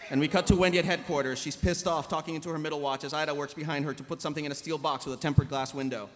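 A male narrator reads script directions at a rapid clip. His voice is high and forceful, carried over a reverberant hall PA. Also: steady tone. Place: large convention hall panel stage. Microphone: podium microphone. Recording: echoey convention hall PA.

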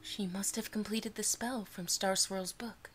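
Woman as a calm, mid-pitched pony ruler. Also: a little hiss or hum, lightly acted. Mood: calm, commanding. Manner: measured, formal. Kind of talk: animated fan cartoon acting.

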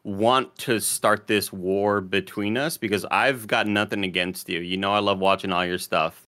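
A man co-hosting a casual podcast from home, talking in a halting, deadpan way with many pauses. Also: fairly low pitch. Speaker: podcast co-host.

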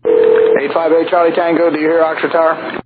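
A man's voice: a little high, flat delivery, brisk, phone-quality sound. An American man working as an air traffic controller, giving procedural radio instructions with some hesitation. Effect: aviation radio. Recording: noisy, band-limited aviation radio transmission.

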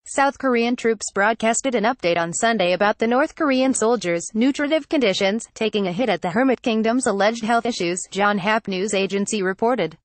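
A woman reading a scripted news report in an even, flat delivery. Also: fairly high pitch, moderate pace, hardly any pauses, dry recording. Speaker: news reader.

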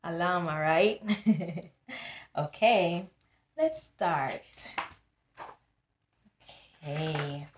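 A woman in her thirties reading aloud to young children as a tutor, pausing to teach and speaking to them directly in an animated, instructive way. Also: fairly low pitch, slight background noise. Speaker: tutor hosting a children's book club. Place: small indoor room. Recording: echoey small room.

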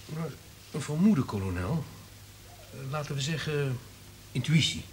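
An actor playing a wary, calculating subordinate in a radio play, deferential but insistent. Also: male voice, on the high side, animated, a little slow, a little hiss or hum.

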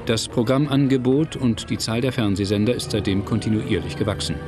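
A man narrating a scripted documentary in a measured, factual manner. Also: fairly low pitch, fairly even intonation, music in the background. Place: studio voice-over, music behind.

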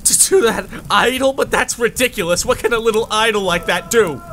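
A man's voice: high-pitched, fairly quick, a little hiss or hum. An actor playing a nervous young male sidekick in a radio play. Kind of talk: radio drama acting. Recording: radio play with loud music.

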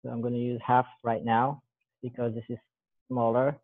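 Man, 30s, mid pitch, rambling cooking demo, phone-like sound. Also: normal speed. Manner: relaxed, rambling, self-correcting while working with his hands. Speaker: guest demonstrating a recipe. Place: large bare room with a counter, indoors. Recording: thin phone-like call audio.

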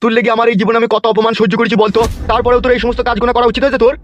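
A man's voice: extremely high pitch, flat delivery, racing. A man voicing an exasperated middle-aged village father in a comic cartoon, scolding bluntly and coarsely, in a dull recording. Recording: dull, muffled cartoon audio. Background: faint music.

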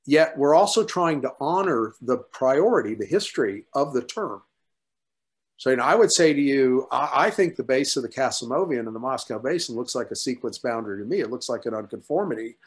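A man's voice: medium pitch, expressive, fairly quick. An older man with a mid-range voice talks through science on a video call. He is conversational and emphatic, like a researcher in an informal workshop discussion. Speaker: paleontologist at a workshop. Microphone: video call computer mic.

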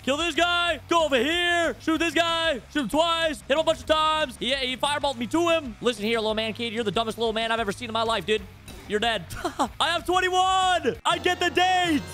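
A man's voice: very high-pitched, brisk, pushed and bright, soft background music. A man in his twenties doing hyped, slangy, boastful gameplay commentary. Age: 20s. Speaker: gaming streamer.

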